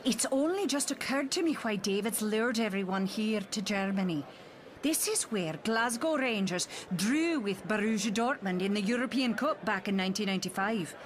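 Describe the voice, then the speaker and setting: A woman's voice: mid-range voice, animated, moderate pace, lightly acted. A woman playing an anxious, defensive doctor and mother in a motion comic, earnest and pointed. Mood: dismayed.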